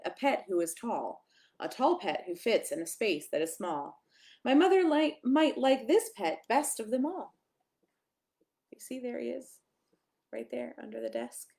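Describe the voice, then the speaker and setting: Animated woman reading a children's story aloud. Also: on the high side, creaky. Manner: expressive, sing-song reading with emphatic pauses. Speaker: pastor reading a picture book aloud.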